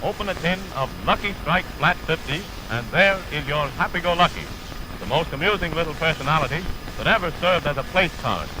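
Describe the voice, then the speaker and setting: A man's voice: fairly high pitch, lively intonation, a little fast. An American man announcing on 1930s radio in a formal, polished advertising style, on a scratchy old broadcast recording. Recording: noisy, scratchy 1930 radio aircheck.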